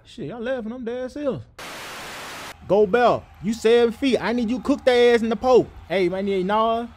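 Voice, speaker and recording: A man's voice: extremely high pitch, moderate pace, a little mellow, slight background noise. A man doing comedic sports parody voiceover, with brash, slangy trash talk.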